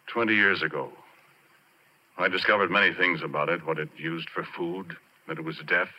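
Obsessed ex-oil driller, American, haunted, halting confessional delivery, very sharp low voice, vintage radio horror. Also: male voice, steady tone. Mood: grim, confiding. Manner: halting, conversational, brooding with dramatic pauses. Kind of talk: 1940s radio horror acting, intimate first-person narration. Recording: dull, noisy 1940s radio recording.

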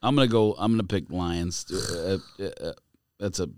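A man's voice: low voice, moderate pace, a little mellow, dry recording. A man hosting a casual sports podcast, calling out matchups and bantering through picks. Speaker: podcast host calling out the games.

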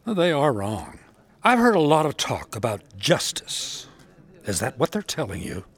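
An actor playing a wise, gentle old rabbi with a measured, wry way of questioning in a biblical audio drama. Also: male voice, mid-range voice, huge pitch swings, slightly rough, exaggerated voice. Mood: gentle, knowing.

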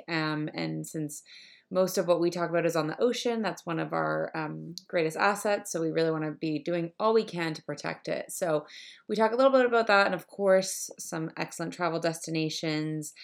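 Podcast host, young woman, cheerful and chatty, lower pitch. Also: gravelly. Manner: upbeat, chatty, with frequent ums. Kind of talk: podcast interview.

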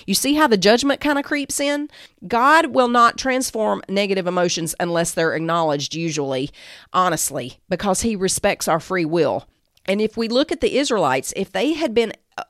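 A woman giving a devotional Bible teaching, part scripted and part conversational, in an earnest, emphatic way with deliberate pauses. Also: mid-range voice, no room sound, studio quality. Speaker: Bible teacher and show host.

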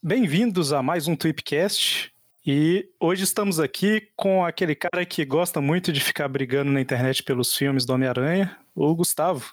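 A man with a Brazilian Portuguese accent hosting a podcast, chatty and quick to laugh. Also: a little high, no room sound, clean studio sound. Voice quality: chatty and laughing, lots of fillers. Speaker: podcast host. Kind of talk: casual podcast chat.

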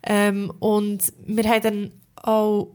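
A woman's voice: medium pitch, completely flat delivery, slow pace, darkish tone. A woman with a Swiss German accent chatting casually and enthusiastically as a podcast host. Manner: informal, enthusiastic. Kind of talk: casual chat.

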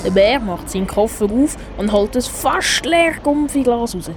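A woman's voice: on the high side, lively intonation, normal speed, over music. A woman with a Swiss German accent narrating a scripted radio play for children. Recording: faint background noise.

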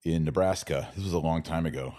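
A man's voice: extremely low pitch, fast, dark tone. A man in a casual podcast conversation, hosting and talking in a reflective, anecdotal way. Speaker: podcast host.

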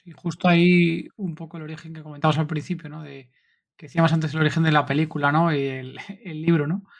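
High, creaky-voiced man from Spain hosting. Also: level tone, quick pace. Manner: rambling questions with frequent tag questions. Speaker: podcast host. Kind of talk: casual interview chat.

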